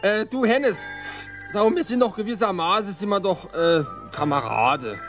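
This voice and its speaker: A man's voice: high voice, animated, a little slow, a bit bright, faint music behind, full character voice. A man, playing a hesitant, glum man in a radio play. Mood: earnest. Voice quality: hesitant, mumbling. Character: gloomy middle-aged man named Ernst, sullen. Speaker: radio drama character.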